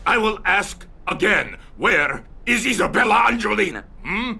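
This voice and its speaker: Gravelly, commanding Soviet general. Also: male voice, high voice, big pitch swings, moderate pace, noisy background, full character voice. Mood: menacing, demanding. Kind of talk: video game cutscene acting.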